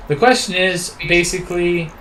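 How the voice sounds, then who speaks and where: A man's voice: high voice, normal speed, pushed and bright, slight background noise, low-quality recording. A man in his thirties hosting a casual chat, talking in a loose, rambling way with teasing asides. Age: 30s. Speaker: host.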